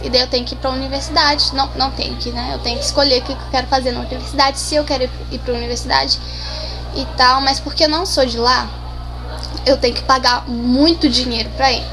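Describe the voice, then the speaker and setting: High-voiced teen girl, hesitant chat. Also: fairly even intonation, quick pace, music in the background, low-quality recording. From Brazil. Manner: shy, hesitant, many restarts and fillers. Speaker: interview guest. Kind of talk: casual conversational answers.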